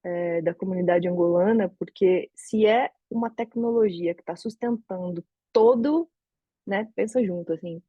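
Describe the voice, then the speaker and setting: A woman's voice: lowish voice, measured pace, slightly rough, no room sound, highs cut off. A Brazilian woman in her thirties with a Brazilian Portuguese accent, giving a conversational online talk from home, thinking aloud with frequent restarts. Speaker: open source advocate giving a talk. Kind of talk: casual online talk to a community. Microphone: video call.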